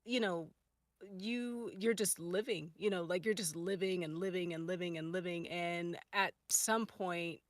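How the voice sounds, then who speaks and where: A woman's voice: on the low side, fairly even intonation, a little slow, no room sound, clean studio sound. A woman chatting casually and off the cuff, thinking aloud with emphatic repeats. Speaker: podcast cast or crew member. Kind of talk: casual, off-the-cuff group chat.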